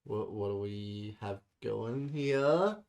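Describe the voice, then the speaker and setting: A man's voice: on the low side, animated, slow, creaky, darkish tone. A man co-hosting a podcast, giving opinions in informal, slangy casual chat. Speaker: podcast co-host.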